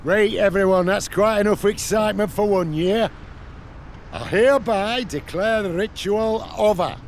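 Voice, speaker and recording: A man's voice: sits high, unhurried, slight background noise. A man playing a hearty, proud but good-natured village headman in a video game, with a declamatory delivery. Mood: hearty, commanding.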